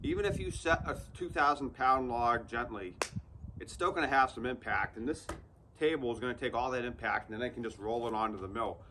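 A man's voice: medium pitch, a little fast, noisy background. A man in his thirties, a channel host, talking viewers through a practical build outdoors in a casual, direct way. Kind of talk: casual how-to vlog talk. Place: outdoors under an open sawmill shed in woods.

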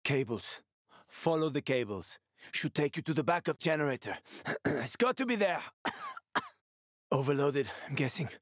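A man's voice: fairly high pitch, animated, moderate pace, pauses often, no echo, clean studio sound. An actor playing a wry, streetwise male survivor and former cab driver in a video game, colloquial and sweary, with an urgent manner. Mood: tense, focused. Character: wry, streetwise male ex-cabbie survivor, fatherly yet shifty. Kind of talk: video game character dialogue.

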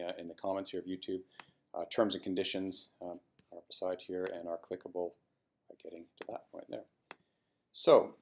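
Low-voiced man giving a casual demo. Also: a little mellow. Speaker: software demo presenter. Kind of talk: casual screen-recorded walkthrough.

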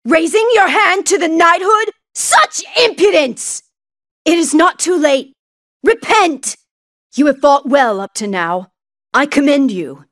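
A righteous lady knight from a game, high voice, super fervent, big swings in pitch. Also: lively intonation, slow, fairly bright tone, no room sound, studio quality, full character voice. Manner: declamatory, fervent, formal. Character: zealous, righteous female knight driven by ideals. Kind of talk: video game villain acting.

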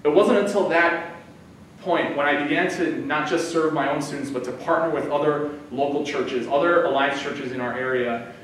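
A man's voice: fairly high pitch, a little hiss or hum. A man in his thirties gives a conversational sermon as a guest preacher, using his hands as he talks. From United States. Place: church sanctuary, indoors. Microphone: far camera. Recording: echoey church hall from far off.

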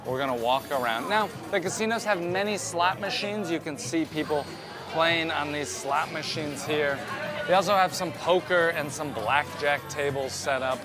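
A man's voice: fairly high pitch, normal speed, music in the background. A man in his thirties giving a chatty, upbeat walking tour of a cruise ship, talking to a camera held at arm's length. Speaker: cruise vlogger. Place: cruise ship interior and decks. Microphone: handheld camera at arm's length.

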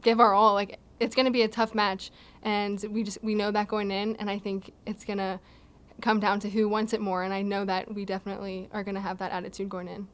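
A young woman answering postgame questions as a college volleyball player, earnest and steady, with light laughs, seated at a table mic indoors. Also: mid-range voice, a little fast. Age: young adult. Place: indoor press backdrop, seated. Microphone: table mic in front of her.

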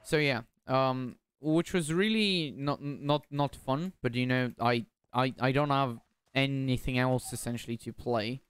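A man's voice: mid-range voice, a little slow, smooth, no echo. Chatty young man streaming. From Bulgaria. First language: Bulgarian. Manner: chatty, repeats words, confused asides. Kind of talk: casual gameplay commentary.

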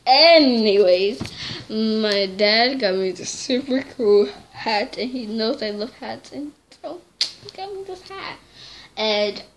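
A woman's voice: fairly high pitch, animated, takes it slowly, a little hiss or hum. A young teen girl chatting casually to her camera in a small dim bedroom, rambling and correcting herself as she goes. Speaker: vlogger. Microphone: device camera held close.

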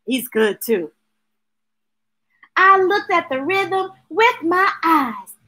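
Story time host: American woman, bright voice, unhurried pace, expressive and rhythmic with kids' books. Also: extremely high pitch. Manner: expressive, playful, chanting repeated words rhythmically. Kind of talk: animated picture book read-aloud with chatty asides. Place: small indoor room with shelves of books. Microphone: webcam or device at arm's length.

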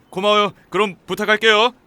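A man's voice: high voice, steady tone, unhurried, bright, forceful tone. An actor playing a friendly, chatty and easily flustered young male office worker in a Korean audio drama. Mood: busy, flustered.

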